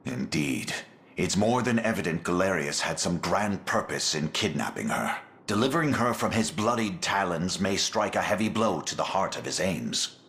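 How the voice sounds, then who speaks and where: An actor playing a grave, loyal counselor to a prince, speaking in formal, solemn oratory in a fantasy video game. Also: male voice, sits low, big pitch swings, moderate pace, a bit bright, full character voice.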